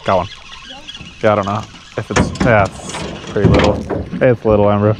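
A man's voice: fairly low pitch, flat delivery, normal speed. Laid-back young man fishing from a boat. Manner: laid-back, says dude and bro. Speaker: fishing vlogger. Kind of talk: casual outdoor chat. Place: small boat on a river, outdoors. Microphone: action camera on the boat. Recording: action camera with clear wind and water noise.

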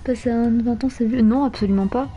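A woman's voice: fairly high pitch, steady tone, brisk, dark tone, dull audio, soft background music. A nineteen-year-old woman, chatting playfully with viewers while playing a game live, flustered and teasing. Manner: playful, bantering, easily flustered. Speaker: streamer. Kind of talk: live gameplay chat with viewers.

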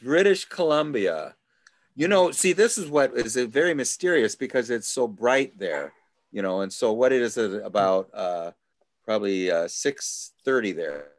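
A man leading a study group over a video call, asking questions in a halting way with many restarts. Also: medium pitch, expressive, dry recording. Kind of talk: casual group discussion.

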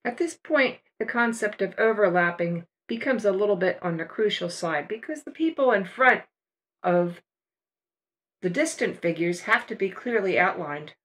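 An older woman talks through her painting steps in a calm, unhurried voice-over. Her voice sits at a middle pitch. She explains as a patient art teacher. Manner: relaxed, unhurried step-by-step explaining.

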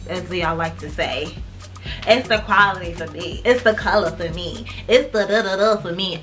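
A woman's voice: mid-range voice, pushed and bright. A young woman chatting emphatically to her viewers in a casual try-on haul, calling them "y'all". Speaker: fashion vlogger. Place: indoors in front of a painted wood backdrop.

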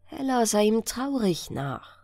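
A woman reading a fairy tale as a bedtime audiobook, in an expressive, measured storytelling manner. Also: on the high side, dry recording, clean studio sound. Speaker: audiobook narrator.

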